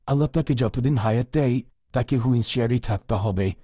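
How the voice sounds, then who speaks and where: A man playing a calm doctor advising a family in a cartoon, with a husky voice. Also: mid-range voice, brisk, no echo, clean studio sound, close to an everyday voice. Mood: grave. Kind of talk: cartoon dialogue.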